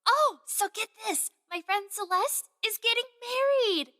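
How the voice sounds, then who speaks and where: A young woman acting a chatty, excitable palace gossip in an expressive, theatrical way for a fantasy audio drama. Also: very high voice, measured pace, heavily acted. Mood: confiding, insistent.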